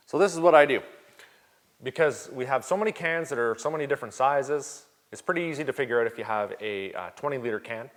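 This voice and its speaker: A Canadian man chatting through viewer questions in a relaxed, easygoing tone at a middling pitch. His voice trails off into a long reverb, as if he's speaking in a big garage. He fills his pauses with casual ums and uhs. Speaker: channel host answering viewer questions. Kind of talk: casual Q&A vlog.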